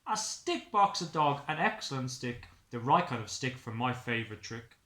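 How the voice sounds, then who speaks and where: A man voicing an eager, excitable dog in a picture-book story, bouncy and repetitive. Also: mid-range voice, moderate pace, reverberant. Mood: excited.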